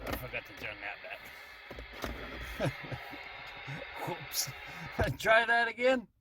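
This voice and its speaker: A man's voice: sits high, big pitch swings, slow, loud music behind the voice. A man giving a casual radio-testing demonstration as a hobbyist, talking in check-ins. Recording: noisy CB radio transmission at times.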